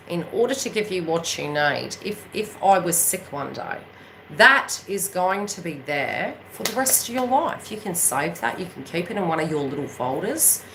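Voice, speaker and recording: She is a New Zealand woman with a lowish, forceful, bright-edged voice. She talks off the cuff like a spiritual coach on her own channel, emphatic and meandering, often tossing in little check-in questions. A faint noise sits under the recording. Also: expressive, moderate pace, rough recording. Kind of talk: casual unscripted chat to viewers.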